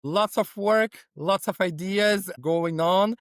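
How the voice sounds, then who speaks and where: A man's voice: high voice, slow, no room sound, clean studio sound. A man from Brazil with a Brazilian accent, a native Portuguese speaker, giving conversational interview answers in non-native English and often checking in with "right?". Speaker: podcast guest, innovation consultant.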